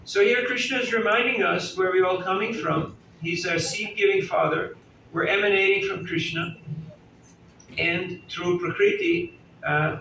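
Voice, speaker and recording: Gravelly man preaching in an echoey hall. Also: high-pitched, sharp tone. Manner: chatty, asks the audience questions and jokes. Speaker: spiritual teacher giving a talk. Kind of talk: conversational sermon to a live audience. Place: indoor hall at a festival gathering. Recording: echoey hall, distant mic, faint room noise.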